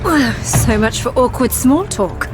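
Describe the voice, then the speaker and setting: An actress playing a flirtatious upper-class British socialite in a video game, arch, playful and teasing. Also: female voice, a little high, lively intonation, a little slow, music in the background. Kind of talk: video game cutscene acting.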